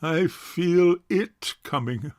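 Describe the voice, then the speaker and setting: A man playing a stubborn, pompous bachelor uncle in a stage farce, speaking formally and with measured care. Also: on the high side, animated, a little mellow, no room sound, studio quality. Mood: grandly suffering. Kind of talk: stage farce audio drama acting.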